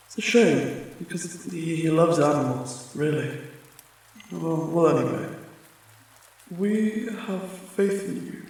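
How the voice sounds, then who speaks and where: A man playing a friendly, apologetic sleep paralysis demon in an ASMR comfort roleplay, speaking gently and haltingly, with reassurance. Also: fairly high pitch. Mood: chatty, fond. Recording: very echoey, distant, rain sounds behind. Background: rain.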